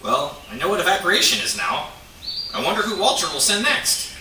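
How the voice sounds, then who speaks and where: A man voicing a naive, excitable, curious beaver in a children's puppet show, with exaggerated exclamations and questions. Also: high voice, a little fast, full character voice. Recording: echoey room, distant mic, noisy.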